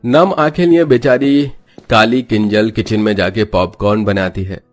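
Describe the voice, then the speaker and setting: A man narrates a scripted moral story as its storyteller. His voice sits a little low, and music plays faintly behind him. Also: fairly even intonation, normal speed.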